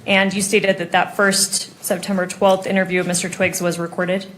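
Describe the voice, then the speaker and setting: A woman's voice: mid-range voice, little pitch movement, normal speed, a bit bright. An American woman questioning a witness as an attorney in a courtroom, speaking formally, methodically and precisely. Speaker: prosecuting attorney examining a witness. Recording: noisy courtroom audio.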